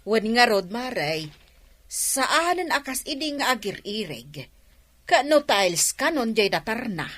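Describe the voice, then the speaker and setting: A woman's voice: on the high side, big pitch swings, unhurried, fairly bright tone, exaggerated voice. A woman acting in a radio drama as an eager, knowing neighbour, chatting and gossiping. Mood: chatty.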